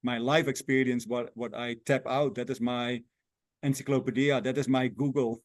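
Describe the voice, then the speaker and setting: Earnest, halting man in his sixties. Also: mid-range voice, fairly even intonation, no room sound. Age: 60s. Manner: earnest, halting non-native phrasing with many restarts. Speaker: guest, lifestyle coach. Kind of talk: casual interview.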